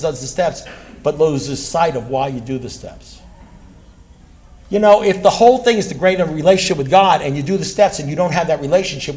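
A man with a high voice delivers an impassioned recovery talk to a crowd of listeners. He is blunt and emphatic, circling back to the same points and swearing now and then without apology. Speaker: speaker at a recovery meeting.

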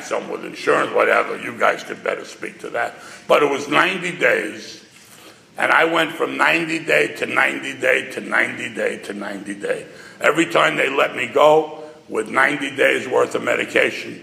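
An older man from New with a gravelly voice, telling a personal story with wry, self-mocking humor to a live audience over a stage microphone. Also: mid-range voice, expressive, normal speed, bright, forceful tone. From New York. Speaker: speaker addressing an audience. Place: large hall before a live audience. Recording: reverberant hall sound.

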